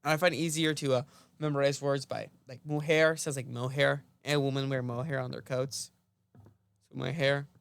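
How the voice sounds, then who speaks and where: A man's voice: mid-range voice, level tone, moderate pace, vocal fry, fairly bright tone, smooth, no room sound. A teenage boy co-hosting a casual homeschool podcast, goofy and jokey, fond of saying random things. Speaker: podcast co-host. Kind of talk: casual chat.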